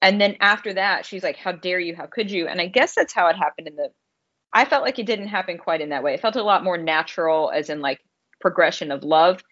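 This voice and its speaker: A woman's voice: fairly low pitch, fairly quick, fairly bright tone, highs cut off. A woman co-hosting a podcast, talking in a casual, opinionated, slangy way about film. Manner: informal, conversational, slangy. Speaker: podcast co-host reviewing films.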